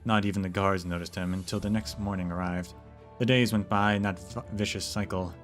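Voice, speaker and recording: A man streaming and reading a game's story aloud, relaxed, with chatty asides to viewers. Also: low-pitched, a little fast, soft background music. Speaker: streamer narrating a game.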